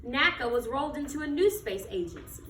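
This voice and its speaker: An American woman reading a picture book aloud to children like a teacher, with expressive, emphatic delivery and questions to her listeners. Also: high voice, brisk. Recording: echoey room, distant mic, background noise.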